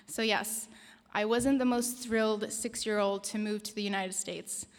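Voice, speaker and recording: A young woman from Denmark giving an earnest, personal pre-sermon talk with measured pauses, in a mosque prayer hall. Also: fairly high pitch, fairly bright tone. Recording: reverberant hall.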